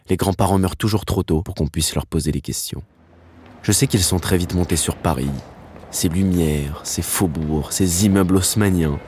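A man's voice: low voice, a little mellow, slight background noise. An actor playing a dreamy screenwriter in his thirties, who tells his father's story reflectively in an intimate podcast drama. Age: 30s.

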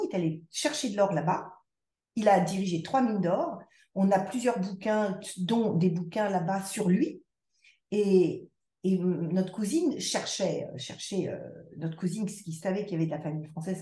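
Low, mellow-voiced woman in an echoey room. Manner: reflective, many restarts and repetitions. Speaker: podcast guest. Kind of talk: conversational interview.

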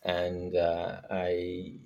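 A man from Norway speaking casually as an interview guest, halting as he thinks aloud. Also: deep voice, monotone, dark tone, dull audio. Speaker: guest, startup founder.

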